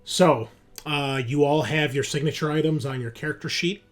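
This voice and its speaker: A man narrating a tabletop roleplaying game as game master, explaining things conversationally with pauses mid-sentence. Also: on the high side, steady tone. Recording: music behind the voice. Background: background music.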